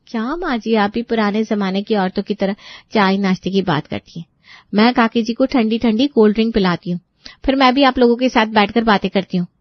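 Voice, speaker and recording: A woman's voice: on the high side, brisk, dry recording, lightly acted. Woman acting as young daughter-in-law, cheerful, sweetly insistent, audio story drama, dry muffled recording. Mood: cheerful, chatty. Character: cheerful, chatty, clingy young daughter-in-law with a teasing edge. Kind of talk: acted audio story dialogue.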